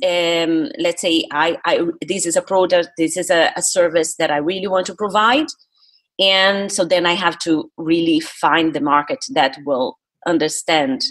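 A Brazilian woman, a native Portuguese speaker, talking earnestly and emphatically as a coach being interviewed. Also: fairly low pitch. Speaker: guest, public speaking coach. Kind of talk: interview talk.

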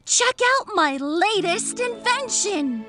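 A woman's voice: very high-pitched, animated, slow pace, over loud music, full character voice. A woman voicing an upbeat young inventor in a children's cartoon. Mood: proud.

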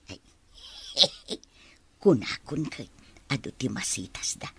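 A woman playing a chatty, wheedling older village aunt in an Ilocano comedy radio drama, coaxing with drawn-out exclamations. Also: extremely low pitch, very animated, noisy background, full character voice.

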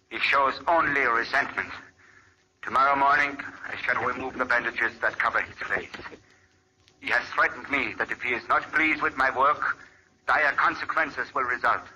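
A grave, remorseful scientist. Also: male voice, medium pitch, pushed and bright, poor audio. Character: remorseful male scientist writing his journal. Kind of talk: 1940s radio acting. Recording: old radio recording with hiss.

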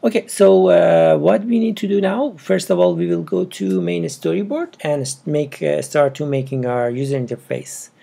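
A man with a non-native English accent narrates a casual step-by-step tutorial, with halting, broken phrasing. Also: on the high side, gravelly, warm tone, husky. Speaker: programming tutorial presenter. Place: indoors at a computer.

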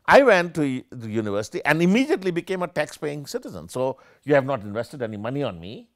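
An older man, a professor, giving an animated and discursive academic lecture with many self-corrections, seated in a small room with armchairs. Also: medium pitch, quick pace, no echo, studio quality. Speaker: keynote speaker, professor.

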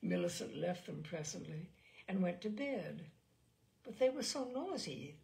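A woman reading literary fiction aloud as a storyteller, in a measured, expressive audiobook style. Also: lowish voice, gravelly.

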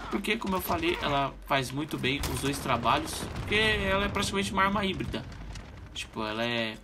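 A man's voice: on the high side, fairly quick, noisy background. A young Brazilian man with a stuffy, nasal voice from a cold, chatting casually to viewers while commenting on his gameplay. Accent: Brazilian Portuguese. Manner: relaxed slangy chatter, talks to viewers. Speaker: gaming channel host. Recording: loud game gunfire over the voice.

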